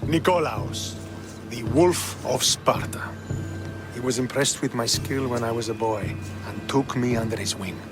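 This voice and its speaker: An actor playing a stern, proud young Spartan military commander in a video game, speaking formally and with earnest authority. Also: male voice, mid-range voice, measured pace, lightly acted. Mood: proud, devoted. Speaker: video game character, army officer. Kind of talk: dramatic video game cutscene acting. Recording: game soundtrack music behind the voice.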